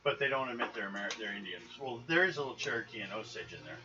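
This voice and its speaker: A man running a tabletop game as game master, narrating and chatting casually in a halting, rambling way. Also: on the low side, lively intonation, far from the mic. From United States. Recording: dull lo-fi live recording with hiss.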